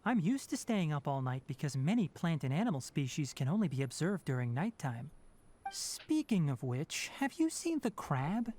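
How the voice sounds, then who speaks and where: An actor playing an earnest young male forest ranger and scholar in a video game, speaking in a formal, measured, articulate way with gentle sternness. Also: fairly high pitch, sing-song, a little hiss or hum. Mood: gently stern. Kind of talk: scripted video game voice acting.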